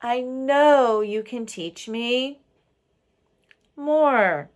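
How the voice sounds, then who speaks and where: A woman's voice: on the high side, expressive, drawn-out words, voice pushed up. A woman voicing a restless, ambitious, never-satisfied man in a storybook, with emphatic, insistent delivery. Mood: impatient pleading.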